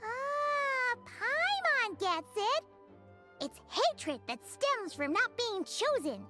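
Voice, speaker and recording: A tiny chirping sidekick creature, curious and eager, with a sky-high, wildly swooping voice. She drags her words out slowly while light game music plays behind. It is a video game cutscene. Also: very animated, full character voice. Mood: realizing. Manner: high squeaky voice, speaks of herself by name. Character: tiny chirpy female floating companion, curious. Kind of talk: game voice acting.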